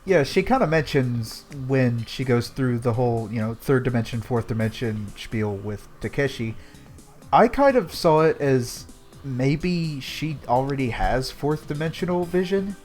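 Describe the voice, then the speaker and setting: A man's voice: medium pitch, animated, unhurried, a little mellow, soft background music. A man in a casual group discussion, offering tentative, thoughtful views. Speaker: podcast co-host.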